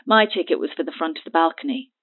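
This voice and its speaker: A woman's voice: sits low, quick pace. An actress playing a cagey, mocking woman who needles her listener in a naturalistic audio drama, heard over a phone filter. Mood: scornful, recounting. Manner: haughty, needling, sardonic. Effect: phone line filter. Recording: tinny narrow phone line.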